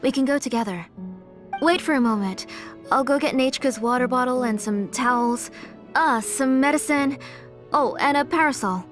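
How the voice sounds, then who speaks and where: A woman playing a caring, protective mother in a video game, worried and gently scolding. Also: sits high, moderate pace, lightly acted. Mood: concerned, relenting. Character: caring, fussing, protective mother of a sick girl. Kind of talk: English video game voice acting. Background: music.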